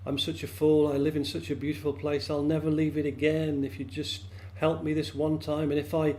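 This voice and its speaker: A man's voice: fairly high pitch, monotone, a little fast, a little mellow, close to an everyday voice. A man voicing a small, timid but helpful lake frog, earnest and hesitant, with a British accent, in a told story. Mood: pleading.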